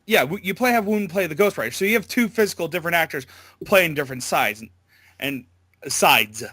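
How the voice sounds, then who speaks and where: A man speaks casually as an interview guest, in a bright and fairly high voice. He is hesitant and informal, rambling as he explains himself. The sound is close and dry, with very little room. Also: lively intonation, brisk, husky, dry recording. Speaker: amateur voice actor being interviewed.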